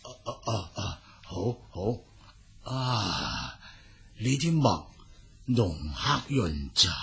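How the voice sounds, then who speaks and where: A man playing a kindly, curious old gentleman calligrapher in a Cantonese storytelling drama, with a raspy voice and wondering interjections. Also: deep voice, slight background noise, heavily acted. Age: older.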